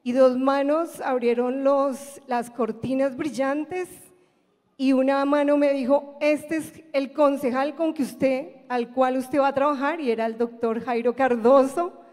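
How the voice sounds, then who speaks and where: A woman with a very high voice tells her testimony to a church congregation. She speaks as a member recounting a story of faith, and the hall throws a long echo behind her. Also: fairly even intonation, a little slow, a little mellow. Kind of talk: narrative religious testimony. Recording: echoing large hall.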